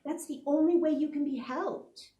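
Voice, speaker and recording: A woman's voice: high voice, fairly even intonation, normal speed, dark tone, highs cut off, close to an everyday voice. A woman playing a loving, earnest woman who coaxes and pleads, in 1940s radio melodrama style. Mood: pleading, tender. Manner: earnest, coaxing. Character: loving, pleading woman urging a fugitive to surrender. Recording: roomy, echoing stage sound.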